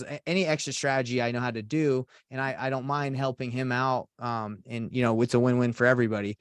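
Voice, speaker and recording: A man's voice: medium pitch, smooth, dry recording, clean studio sound. A young man in his twenties, a real estate coach being interviewed, talking earnestly with many restarts. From Atlanta, Georgia. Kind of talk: casual podcast interview.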